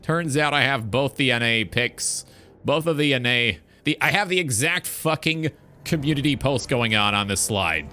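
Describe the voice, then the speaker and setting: A man's voice: mid-range voice, animated, moderate pace, bright, forceful tone. A man hosting a live stream in a casual, informal talk show style, swearing freely.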